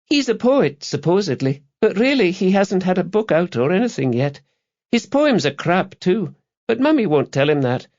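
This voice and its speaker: A man's voice: sits high, very animated, normal speed, darkish tone, muffled recording, voice pushed up. A man voicing a chatty, candid school-age girl with a frank, informal manner, as audiobook character dialogue. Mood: gossipy, scornful.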